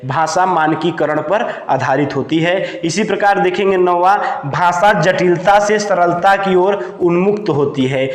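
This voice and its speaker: A man's voice: sits high, measured pace, few pauses. A man teaching an exam-prep lesson point by point, repeating lines and answering his own questions. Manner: repetitive, asks questions and answers them himself. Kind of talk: lesson explained point by point for note-taking. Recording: echoey, reverberant room.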